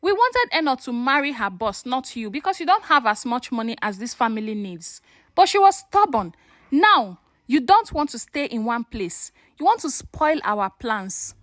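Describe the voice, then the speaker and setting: A woman storyteller playing a stern, cold elderly patriarch with a deliberate, threatening manner. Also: fairly high pitch, big pitch swings, fairly bright tone, no room sound, clean studio sound. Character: cold, menacing elderly patriarch guarding family interests.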